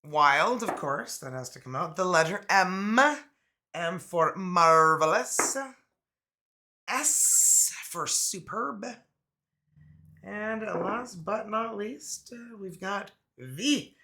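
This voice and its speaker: Bright-voiced man, slightly raspy from a cold. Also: high-pitched, expressive, extremely slow. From Canada. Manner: laid-back, chatty, laughs often. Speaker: tarot reader. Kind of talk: casual tarot reading.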